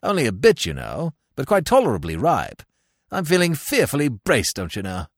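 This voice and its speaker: A man's voice: mid-range voice, wildly swooping pitch, moderate pace, dry recording, studio quality, pitched below the performer's own voice. A man voicing a carefree, foppish young upper-class man who giggles and chatters in breezy slang. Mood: chirpy.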